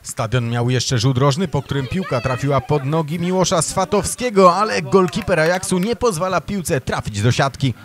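A man reading a scripted voice-over of youth football match highlights, narrating each play in steady Polish. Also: normal speed, few pauses. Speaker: match commentator.

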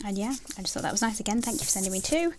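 A young woman chatting casually about planner stickers, soft and gushing, with many ums and likes. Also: mid-range voice, lively intonation, racing, background noise. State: has a bad cold, nasal and throaty. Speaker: planner sticker haul vlogger. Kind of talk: casual haul chat. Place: indoors at a table, filming her hands.

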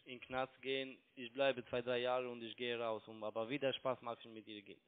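A man's voice: mid-range voice, level tone, brisk, clean tone. Phone caller, male, foreign accent, non-native and broken grammar, mid-range voice, insistent and repetitive, thin telephone sound. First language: not German. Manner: broken German, repeats phrases insistently. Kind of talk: argumentative phone conversation. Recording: tinny narrowband phone line.

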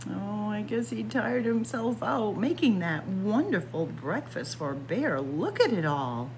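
A woman reading a picture book aloud to young children in an expressive manner, addressing them directly as friends. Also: medium pitch, a little slow, a little hiss or hum, low-quality recording. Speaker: library story time reader. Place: indoors in a library, by bookshelves.

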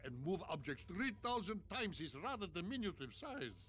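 An actor playing a big, boastful alien scientist in a video game, with a Russian accent and grandiose, stilted broken English. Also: male voice, high voice, very animated, moderate pace. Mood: grandiose pleading. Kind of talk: video game cutscene acting. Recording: game audio under loud music. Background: loud game music.

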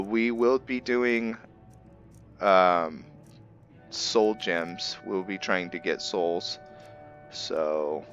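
Man, low voice, forceful tone, halting casual gameplay commentary, faint game music. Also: lo-fi sound. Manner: halting, thinking aloud. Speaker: gamer narrating a roleplay playthrough.

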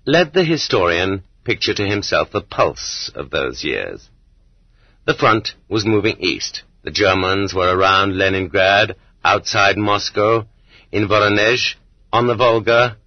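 A man with a deep, slightly rough-grained voice narrates an audiobook. His delivery is measured and rhetorical, with deliberate weight at the ends of phrases. The recording is dry and somewhat muffled, like a lo-fi cassette transfer. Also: no echo. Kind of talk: scripted audiobook narration of literary nonfiction.

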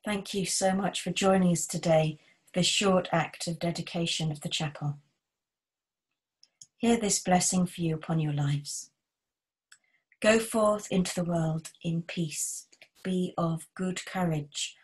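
A middle-aged woman vicar reading formal liturgy in a solemn, measured way. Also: on the low side. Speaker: vicar leading worship.

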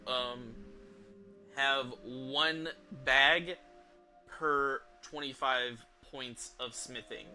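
A young man streams a game with a very bright, sharp-edged voice. He talks slowly and haltingly, feeling for words and swearing casually as he goes. His pitch sits in the middle for a man, and music plays behind him. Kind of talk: casual livestream chat over gameplay. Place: small indoor room at home.

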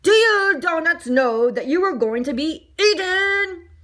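A woman's voice: sits high, huge pitch swings, unhurried, sharp tone, pitched above the performer's own voice, full character voice. A woman voicing a cheerful, matter-of-fact male baker in a children's storybook. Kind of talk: children's storybook read-aloud.

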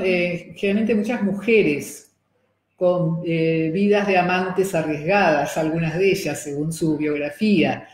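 A woman's voice: lowish voice, a little mellow. A middle-aged woman with an Argentine accent hosting an interview and asking hesitant questions with fillers, seated at a table in a room. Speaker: host. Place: room with wooden table and screen. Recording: roomy echo.

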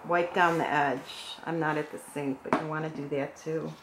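A woman with a hoarse, froggy voice calmly talking through a craft painting tutorial at her work table. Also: low voice, moderate pace. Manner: calm, gentle instructional talk while working. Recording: echoey room with faint noise.

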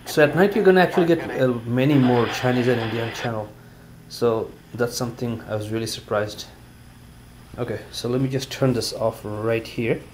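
A man giving a casual product review in his living room, talking in a halting, plainspoken way with many fillers, recorded on a camera set on a table. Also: medium pitch, crowd noise, low-quality recording. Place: living room at home, indoors.

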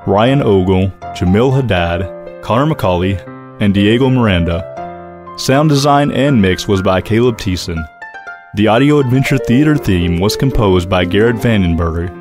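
Male announcer, lowish pitch, scripted credit narration, music underneath.

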